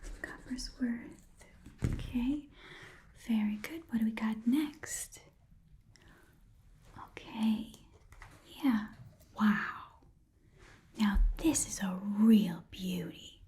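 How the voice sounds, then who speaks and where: A woman voicing an eager, folksy goblin pawn shop keeper in a soft whispered ASMR roleplay, murmuring haltingly to a customer. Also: fairly high pitch. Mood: eager, friendly. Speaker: ASMR performer playing a shopkeeper.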